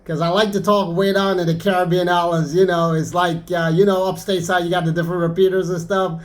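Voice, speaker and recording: A man's voice: high-pitched, flat delivery, fairly quick. A man working as an amateur radio operator, chatting in a casual, easygoing way. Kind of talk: casual radio contact chat.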